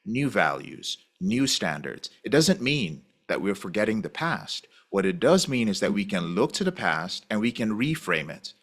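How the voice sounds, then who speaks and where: A man who is a podcast guest, talking in an animated, casual way and acting out the voices he quotes. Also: lowish voice, moderate pace, few pauses. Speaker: podcast guest, recovery program founder.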